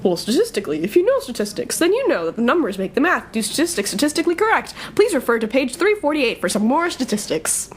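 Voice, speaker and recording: A woman's voice: sits high, sing-song, fast talker, hardly any pauses, a little hiss or hum. A young woman playing a brash, sarcastic college-age thief in an audio drama, speaking in breezy, slangy banter. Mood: mocking. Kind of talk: audio drama dialogue, casual and conversational.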